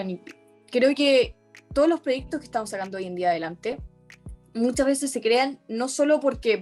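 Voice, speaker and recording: Young woman, chilean accent, higher-pitched voice, earnest, restarts often, faint music under her. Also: a little mellow. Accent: Chilean Spanish. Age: young adult. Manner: earnest, many restarts and self-corrections. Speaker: youth activist guest. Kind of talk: conversational interview.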